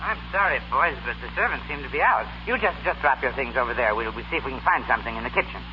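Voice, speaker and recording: A man's voice: mid-range voice, lively intonation, fast, lightly acted. An actor playing a tipsy upper-class young man in a 1930s radio comedy, muddled and formally polite, by turns blustering and courteous. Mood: friendly, confiding. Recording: scratchy, hissy 1930s radio broadcast, dull.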